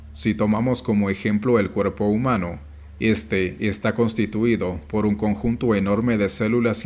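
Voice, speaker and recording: Male audiobook narrator: measured and formal, low register, very even delivery. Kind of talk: scripted audiobook narration of nonfiction. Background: background music.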